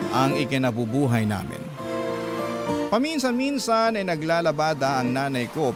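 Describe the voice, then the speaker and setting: A man reading out the story of his life as a letter to an advice radio show, in an earnest, reflective way. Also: mid-range voice. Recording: narration over loud guitar music.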